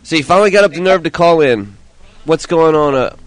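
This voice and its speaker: A man co-hosting a call-in gaming show, easygoing, chiming in with quick remarks. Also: fairly high pitch, expressive, no echo, rough recording. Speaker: co-host. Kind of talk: live call-in chat.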